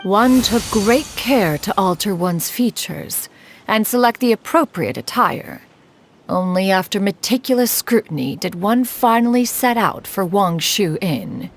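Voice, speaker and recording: An ancient immortal crane woman in a fantasy game, proud and aloof with hidden fondness. Her mid-pitched voice has a creaky edge, and she phrases everything formally and archaically over soft music. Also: expressive, unhurried. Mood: measured, haughty. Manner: formal, haughty, archaic, calls herself "one". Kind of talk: scripted fantasy game dialogue.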